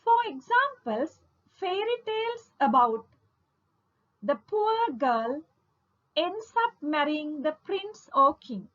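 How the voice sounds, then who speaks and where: A woman giving a scripted literature lesson as a lecturer, in a deliberate, emphatic, instructional manner, on a lo-fi recording. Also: sits high, very animated, a bit bright. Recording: dull lo-fi audio.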